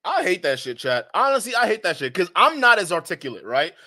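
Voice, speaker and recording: A man with a slight Nigerian accent streams live commentary to his chat, his voice bright and a bit high. He mocks his targets, laughs often and trips over restarts as he talks. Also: sing-song, brisk.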